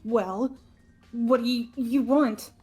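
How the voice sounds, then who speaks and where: A woman voicing a gloomy, nervous teenage girl who stammers resentfully and haltingly in a game dub. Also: a little high, a little mellow, soft background music. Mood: nervous, suspicious. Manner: stuttering, halting, jittery. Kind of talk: fan voice-over of visual novel game.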